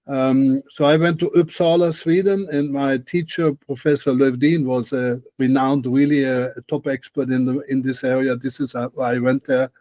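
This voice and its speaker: A man's voice: mid-range voice, fairly even intonation, moderate pace, few pauses, a little mellow, dry recording. An older man from Austria with an Austrian accent, a guest expert answering questions thoughtfully on a video call, often restarting his sentences. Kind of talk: interview answers. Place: home room, video call.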